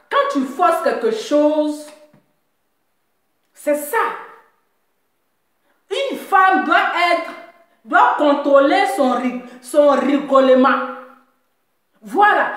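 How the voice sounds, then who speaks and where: Scolding high-voiced woman, very echoey room. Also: a bit bright. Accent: Central African French. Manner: scolding, laughing, repeats phrases. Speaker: live-stream blogger. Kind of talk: mocking live-stream rant.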